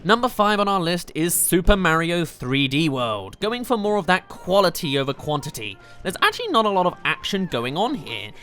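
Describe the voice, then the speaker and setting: Enthusiastic video game host, scripted review narration, animated mid-pitched voice, music in the background. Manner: enthusiastic, chatty. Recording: music behind the voice.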